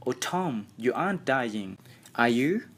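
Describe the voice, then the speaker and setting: Anxious little brother for an audiobook man acting: raised pitch, excitable, worried, urgent. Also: slow pace.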